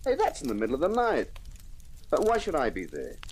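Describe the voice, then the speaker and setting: A man's voice: on the high side, huge pitch swings, a little fast, a little mellow. An actor playing a weary, wisecracking male television star with a flippant, slangy manner in an old-time radio detective drama. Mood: weary, sardonic. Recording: old radio recording with faint hiss.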